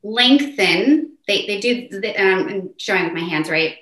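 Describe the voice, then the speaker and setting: A woman's voice: measured pace, reverberant. A woman with a mezzo voice, a voice teacher explaining technique animatedly in an interview. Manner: animated, demonstrative, shifts pitch to show points. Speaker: voice teacher guest.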